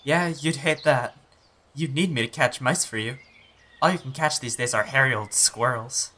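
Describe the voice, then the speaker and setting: A man's voice: medium pitch, normal speed, sharp tone. A man voicing an eager, earnest young male apprentice cat in an audiobook fan dub. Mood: teasing. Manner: earnest, youthful.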